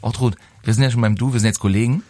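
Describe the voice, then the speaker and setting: A man's voice: medium pitch, fairly even intonation, rapid-fire, darkish tone. A man in his forties presenting a live radio morning show in a chatty, playful, teasing way. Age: 40s. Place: radio studio. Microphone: close studio microphone.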